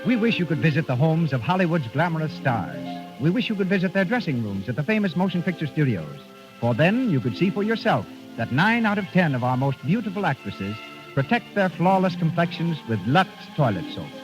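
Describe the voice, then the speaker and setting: A male announcer with a polished, declamatory 1930s sales delivery. His voice is high and animated. The old broadcast sounds dull and lo-fi. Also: a little fast, music in the background. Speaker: radio announcer. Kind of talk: 1930s radio announcing and advertising.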